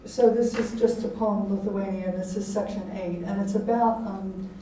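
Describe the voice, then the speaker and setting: An older woman, an author speaking to an audience in a halting, reflective manner. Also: mid-range voice, fairly even intonation, dark tone. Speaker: author and poet giving a talk. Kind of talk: informal talk and poetry reading to an audience. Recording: very echoey room, distant mic, faint noise.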